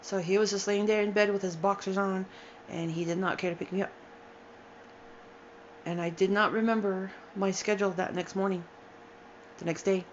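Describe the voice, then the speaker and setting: A woman in her forties telling a painful personal story, halting and emotional, with sighs and restarts, tired and upset. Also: mid-range voice, fairly even intonation, dull audio, a little hiss or hum. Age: 40s. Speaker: storyteller sharing a personal account. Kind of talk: unscripted personal testimony.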